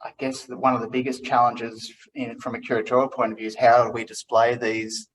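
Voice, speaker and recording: A man's voice: mid-range voice, flat delivery, fairly quick, slight background noise, low-quality recording. Easygoing man hosting a gallery talk. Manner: easygoing and encouraging, with frequent fillers. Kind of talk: relaxed interview conversation.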